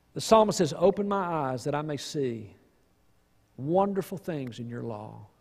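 A man preaching a sermon in an emphatic, folksy way, pausing for weight and asking rhetorical questions. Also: high voice, a little mellow. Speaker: pastor. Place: church sanctuary stage at a lectern. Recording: long reverb of a church hall.

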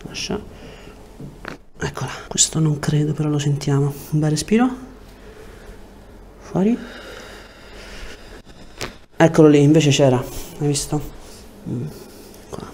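Mellow-voiced man giving chiropractic instructions. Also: fairly high pitch, takes it slowly, pauses often, roomy sound, a little hiss or hum. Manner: short, calm instructions. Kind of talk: hands-on treatment talk with a patient. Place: indoor chiropractic treatment room.

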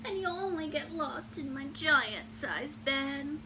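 A woman voicing a giant, tearful bear in a children's storybook, plaintive and bawling with drawn-out words. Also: high-pitched, animated, background noise. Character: giant tearful bear who lost its teddy.

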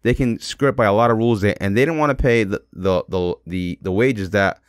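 Male podcast host, rapid and emphatic, repetitive casual opinion talk, dry close sound. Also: lowish voice, clean tone, no room sound. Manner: emphatic, repeats words and phrases.

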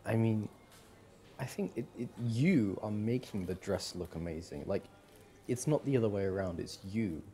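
Man playing a low, mellow, doting boyfriend. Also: expressive, natural voice. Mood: awed, delighted. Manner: hesitant, halting, affectionate. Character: doting, smitten young boyfriend on a shopping date. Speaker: audio roleplay performer. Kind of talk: boyfriend ASMR roleplay, acted one-sided conversation.

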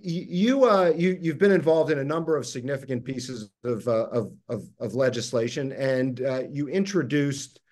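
A middle-aged man hosting an interview over a video call, speaking haltingly with many fillers and restarts. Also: mid-range voice, a little mellow. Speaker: host, organization president.

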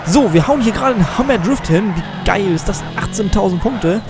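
A man's voice: high-pitched, lively intonation, a little fast. A teenage boy chatting casually through a racing game, rambling and self-deprecating, a little under the weather with a cold. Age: teens. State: has a slight cold. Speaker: let's play gamer. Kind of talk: casual gameplay commentary. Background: game music and car sounds.

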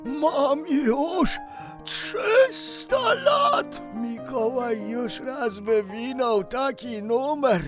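A woman voicing a three-hundred-year-old melting snowman in a children's story, halting and pleading with drawn-out words. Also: high-pitched, expressive, music in the background, full character voice. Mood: doddering, urging.

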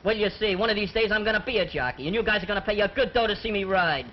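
A brash street kid, played by an actor, all tough slang and swagger, his voice sitting high for a man. It comes from a dull, lo-fi old film soundtrack with steady hiss underneath. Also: rapid-fire, lightly acted. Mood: dreaming. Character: cocky street kid set on being a jockey. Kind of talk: 1940s film acting.

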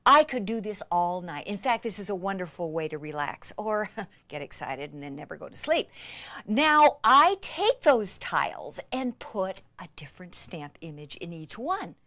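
Excitable woman crafting instructor, swooping intonation. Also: medium pitch, normal speed, dry recording. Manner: excitable, gushing, with breathy gasps and exclamations. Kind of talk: enthusiastic hands-on craft demonstration.

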